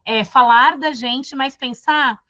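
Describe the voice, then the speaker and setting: A woman's voice: high-pitched, takes it slowly, sharp tone, dry recording, muffled recording. A woman from Brazil leading a course session, speaking thoughtfully with many fillers and restarts. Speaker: course facilitator. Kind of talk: casual classroom talk.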